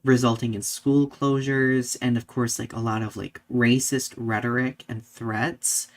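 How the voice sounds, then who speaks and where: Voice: mid-range voice, flat delivery, unhurried. A podcast co-host chatting through current events on a live show in a conversational, informal way.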